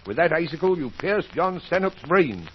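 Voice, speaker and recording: A man's voice: on the high side, sing-song, moderate pace. A man playing a shrewd, grave doctor who investigates a crime in an old-time radio mystery. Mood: accusing. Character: shrewd doctor sleuth, grave, certain, accusing. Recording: muffled, noisy old radio recording.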